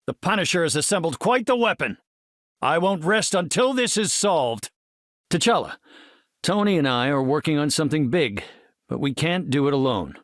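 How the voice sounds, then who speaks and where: A composed, brilliant superhero team leader from a video game. His voice sits fairly high for a male and moves around expressively. He speaks like an earnest scholar, precise and heroic, in a very dry studio sound. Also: expressive, normal speed, fairly bright tone, dry recording. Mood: calm, thoughtful. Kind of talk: video game character voice lines.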